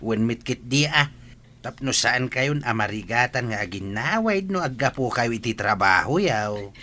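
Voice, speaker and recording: A man's voice: medium pitch, lively intonation, measured pace, sharp tone. A man acting a kindly, helpful older father in an audio drama. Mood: warm, reassuring.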